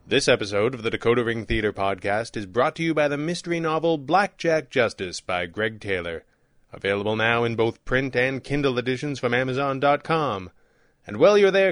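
A man's voice: mid-range voice, a bit bright, no echo, clean studio sound. A man announcing credits and sponsor plugs for an audio drama podcast in a scripted read.

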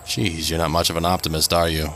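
An actor playing a cautious, hopeful police officer in an audio drama, earnest and questioning. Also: male voice, sits low, little pitch movement, fast talker, bright, forceful tone. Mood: curious, hopeful. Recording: outdoor city noise behind. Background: urban street ambience.

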